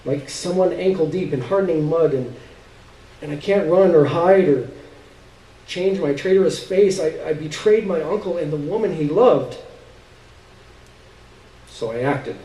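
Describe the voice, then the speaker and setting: A man giving an expressive live reading of a literary story, with dramatic, halting pauses. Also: a little high. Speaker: story reader. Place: live stage reading indoors. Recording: roomy, distant stage recording.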